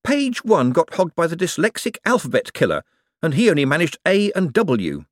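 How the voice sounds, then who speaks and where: Audiobook role: indignant male swindler played by a male narrator, exasperated, sarcastic, animated pitch, dry studio sound. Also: normal speed, no echo. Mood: bitterly sarcastic. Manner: exasperated, protesting. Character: harried, indignant male con artist, quick-tongued and sarcastic.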